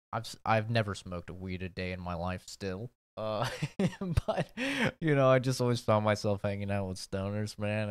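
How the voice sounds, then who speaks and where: A young man in his twenties talks in a low, smooth voice, easygoing and chatty, teasing himself as he goes. The sound is close and dry, with no room behind it. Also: no room sound. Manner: laid-back, chatty, self-mocking. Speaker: YouTuber reacting to his old video. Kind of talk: casual reaction commentary.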